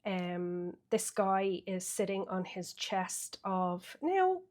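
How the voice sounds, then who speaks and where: Irish woman, sniffly from a cold, slow chatty delivery, tarot reader, casual and thinking aloud. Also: mid-range voice, sing-song, a little mellow. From Ireland. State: getting over a cold, sniffly. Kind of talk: weekly tarot reading.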